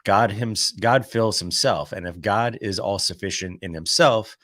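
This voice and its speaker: A man who is under the weather with a cold, reading a daily devotional aloud. He pauses between passages to share relaxed, conversational thoughts. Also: lowish voice, no echo, clean studio sound. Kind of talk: devotional reading with casual commentary.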